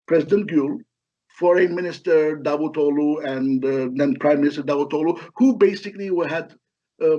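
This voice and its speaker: A middle-aged man from Lebanon giving halting, explanatory answers as an academic expert in an interview. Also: fairly high pitch, darkish tone. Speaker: history professor, interview guest. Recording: dull, lo-fi call audio.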